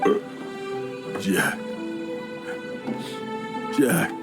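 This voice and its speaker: An actor playing a weary old adventurer in a video game, guilt-ridden and wistful, with a gravelly, halting delivery. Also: male voice. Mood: weary, regretful. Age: older. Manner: halting, heavy-hearted. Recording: game music behind. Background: game music.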